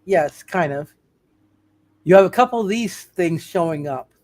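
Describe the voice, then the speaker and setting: A woman running an improvised tabletop roleplay as game master, halting and often repeating phrases as she narrates. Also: on the low side, expressive, darkish tone.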